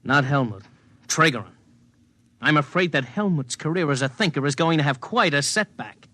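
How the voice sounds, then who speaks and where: Sharp, fierce, calculating zealot. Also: male voice, a little high, expressive, normal speed, dry recording. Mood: smug. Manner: clipped, intense. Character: fierce, calculating male zealot plotting against rivals. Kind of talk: 1950s radio drama acting.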